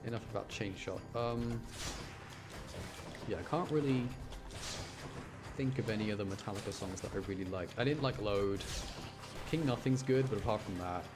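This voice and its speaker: A man with a fairly low voice streams a game over clearly audible music. He talks casually and loosely, drifting between chat and muttered play-by-play. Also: moderate pace, pauses often. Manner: rambling, informal, mutters to himself while playing. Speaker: streamer playing a video game. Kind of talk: casual live gaming commentary and chat. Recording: music playing behind. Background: video game music.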